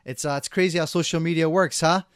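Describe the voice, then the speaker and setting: A man's voice: a little high, steady tone, fast talker, clear, resonant tone, no room sound, studio quality. A man hosting a podcast interview, upbeat and casual, often stumbling and repeating words. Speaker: podcast host.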